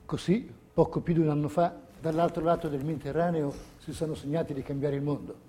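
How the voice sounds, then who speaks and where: A man narrating history in a scripted, measured, declamatory theatrical style. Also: fairly high pitch, fairly even intonation, mellow, husky. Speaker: stage narrator.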